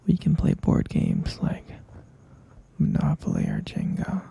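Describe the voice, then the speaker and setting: A man voicing an obsessive, possessive young captor in an ASMR roleplay, whispering softly and calling the listener pet names. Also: a little slow, lightly acted. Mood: obsessive, doting. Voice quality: gravelly whisper.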